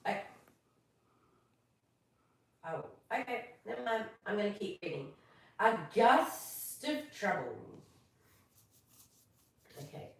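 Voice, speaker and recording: An Australian woman hosting a live stream, moving between casual chat and expressive storybook reading. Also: mid-range voice, creaky. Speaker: live stream host reading bedtime stories. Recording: echoey room, distant from mic.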